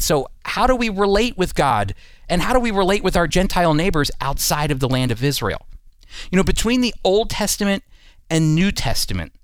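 A man's voice: a little high, no echo, clean studio sound. An American man hosting a Bible teaching program, explaining earnestly and emphatically in a prepared talk. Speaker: radio Bible teaching host. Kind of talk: teaching talk with scripted segments.

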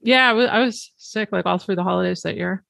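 A middle-aged woman hosts a relaxed podcast conversation, trading memories with her business partner and slipping laughs into her sentences. Her voice is recorded close and dry, with no room sound around it. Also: medium pitch, fast talker, gravelly, no room sound. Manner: laughs often while talking, loose and chatty. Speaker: podcast host. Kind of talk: casual conversation.

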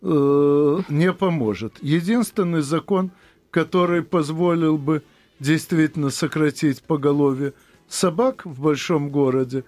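A man's voice: a little high, a little slow, a little mellow, dry recording. Dry matter-of-fact male radio host. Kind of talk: radio talk show.

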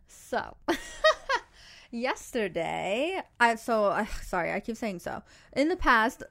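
A woman's voice: on the high side, very animated, slow pace, creaky, a bit bright. A woman hosting a dating podcast, chatting casually, rambling and laughing often as she tells stories. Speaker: podcast host. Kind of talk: casual chat.

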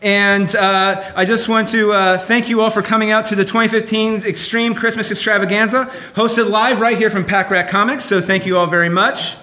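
A man's voice: high-pitched, steady tone, normal speed, few pauses, pushed and bright. A man hosting a live comedy variety show, bantering in an exasperated way. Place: live show inside a comic book shop. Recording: roomy live venue with music.

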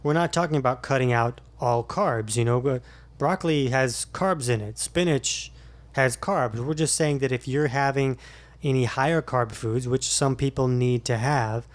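A man's voice: medium pitch, moderate pace. A middle-aged man co-hosting a casual podcast, explaining diet advice in an easygoing way with frequent fillers. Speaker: podcast co-host, fitness coach.

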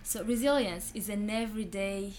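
A woman's voice: mid-range voice, moderate pace, a little mellow, a little hiss or hum. A young woman from Rwanda with a Rwandan accent, a guest in an interview, speaking earnestly and reflectively and repeating phrases for emphasis.

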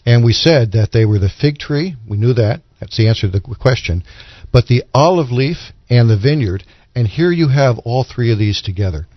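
A man on a discussion panel explaining religious ideas in a deliberate, teacherly way. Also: medium pitch, lively intonation, dry recording. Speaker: panelist on a Bible discussion show. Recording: dull, lo-fi audio.

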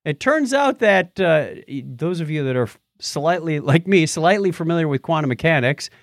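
A man's voice: a little high, lively intonation, normal speed, no echo, clean studio sound. A man hosting a podcast, chatting casually and jokily about tech news with theatrical, self-deprecating announcing. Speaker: podcast host.

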